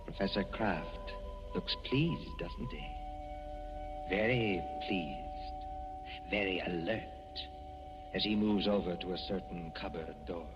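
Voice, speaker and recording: Ominous suspense narrator, deep, slow, 1940s radio, muffled echoing recording, music behind. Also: male voice, huge pitch swings, heavily acted. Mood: ominous, measured.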